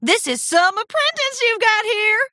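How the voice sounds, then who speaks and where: A woman's voice: very high-pitched, sing-song, moderate pace, sharp tone, dry recording, studio quality, voice pushed up, heavily acted. A woman voicing a folksy, straight-talking female farm pony in a children's story, hearty and blunt. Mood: admiring.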